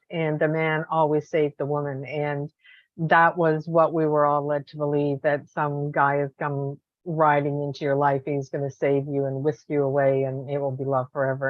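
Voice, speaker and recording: Host, female, very low voice, dry muffled remote recording. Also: level tone, moderate pace, dry recording. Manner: supportive, frequent brief affirmations. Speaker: podcast host. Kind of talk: casual interview conversation. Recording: dry, dull lo-fi sound.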